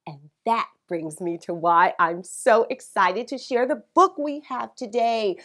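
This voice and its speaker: Woman, children's storytime host, very animated intonation, unhurried pace, teacherly, dramatic emphasis. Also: medium pitch. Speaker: children's read-aloud host and narrator. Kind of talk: expressive picture-book read-aloud for children.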